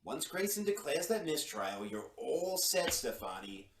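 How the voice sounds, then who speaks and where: Man as gangster's flunky, male, higher husky voice, nervous, hesitant, compliant, radio crime drama. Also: sing-song, unhurried, exaggerated voice. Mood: nervous, placating. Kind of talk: old-time radio crime drama acting.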